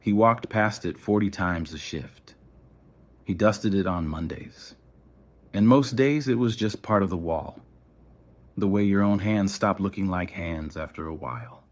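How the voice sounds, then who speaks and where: Low-voiced male story narrator. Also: unhurried. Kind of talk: scripted audio story narration.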